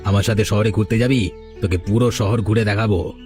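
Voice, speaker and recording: A man's voice: fairly low pitch, steady tone, a little fast, a little mellow, close to an everyday voice. A man playing a bossy, scheming criminal in a cartoon story, talking in a familiar, commanding way. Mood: coaxing. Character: scheming male village trafficker and his masked boss. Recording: music behind the voice. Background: background music.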